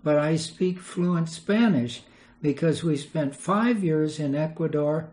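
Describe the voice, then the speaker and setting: A man's voice: fairly high pitch, slow pace, darkish tone. An older man, a retired missionary, telling rambling anecdotes in an interview and chuckling as he goes. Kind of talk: storytelling interview.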